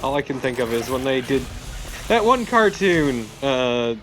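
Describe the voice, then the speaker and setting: A man's voice: medium pitch, sing-song, measured pace, fairly bright tone, a little hiss or hum. A man casually co-commentating on gameplay, exclamatory and prone to swearing. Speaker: let's play co-commentator. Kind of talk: casual chat over gameplay.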